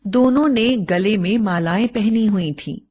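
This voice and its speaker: A woman reading a scripted bedtime moral story in a measured, expressive storytelling way. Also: mid-range voice.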